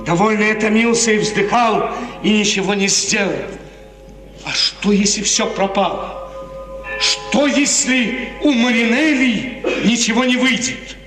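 An actor playing an impulsive, lovesick and imperious young prince, impatient and theatrical in 1950s radio drama style. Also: male voice, high voice. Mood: lovesick, anxious. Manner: theatrical, excitable, hurried commands. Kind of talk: 1950s Russian classical radio drama acting. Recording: reverberant old radio recording, distant, music behind.

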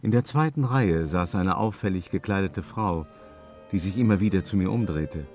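Sober opera director narrating, very low male voice, measured, rueful, radio play, faint music. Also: lively intonation, darkish tone. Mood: reflective. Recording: music behind the voice.

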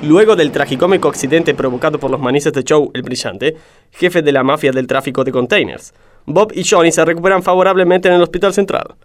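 An Argentine actor playing a dramatic narrator of a comic adventure serial. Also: male voice, a little high, brisk, slight background noise, close to an everyday voice. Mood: mock-dramatic. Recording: loud music behind the voice.

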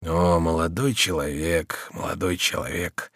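A man reading aloud and voicing a calm, compassionate old servant in a measured, solemn way. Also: lowish voice, dry recording, clean studio sound, natural voice. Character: older male servant, calm, grave and compassionate.

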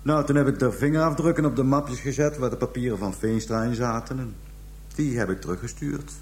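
An actor in a radio drama playing a cold, matter-of-fact murderer confessing without remorse. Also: male voice, mid-range voice, brisk, darkish tone, close to an everyday voice. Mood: matter-of-fact, smug. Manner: casual, unrepentant, matter-of-fact.

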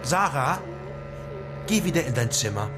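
A smiling, menacing kidnapper in a radio crime drama. He speaks very slowly, with loud music all around the voice. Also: medium pitch, big pitch swings. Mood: mock-polite menace. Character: smiling, menacing male kidnapper confronting an intruder.

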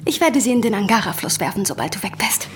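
A woman's voice: mid-range voice, very animated, fast talker, slight background noise, close to an everyday voice. An actress playing a cold, tough woman bar owner in a German radio play, curt and cutting in manner. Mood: cold, scornful. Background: prominent music in a bar scene.

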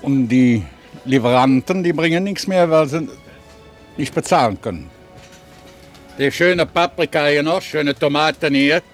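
A man's voice: fairly high pitch, animated, a little slow, long pauses, soft background music. A man working as a market greengrocer, talking in a plain, colloquial way. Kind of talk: casual interview talk and market calls.

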